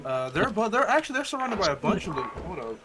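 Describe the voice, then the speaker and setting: A man's voice: high-pitched, big pitch swings, quick pace, background noise. A young man playing a co-op game, explaining plans and guiding his teammates. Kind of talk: casual co-op gaming chat.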